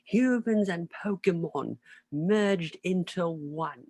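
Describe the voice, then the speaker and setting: A woman's voice: lowish voice, slow pace, a little mellow, dry recording. A woman playing a grandiose, messianic villainous tycoon, giving solemn speeches in an amateur script reading. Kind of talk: amateur online table read of a film script.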